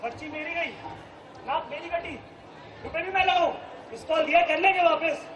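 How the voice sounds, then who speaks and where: Guy playing blustery blaming dad in a stage comedy, highish voice, echoey hall. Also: normal speed, crowd noise. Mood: exasperated. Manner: opinionated, blaming, projecting to an audience. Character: blustering, exasperated middle-aged Indian husband and office-going father. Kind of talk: comic stage-play dialogue. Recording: echoey hall with audience noise.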